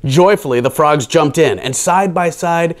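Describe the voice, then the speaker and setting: A man's voice: on the high side, unhurried. A man hosting children's storytime, reading a picture book aloud with playful, theatrical delivery and casual asides.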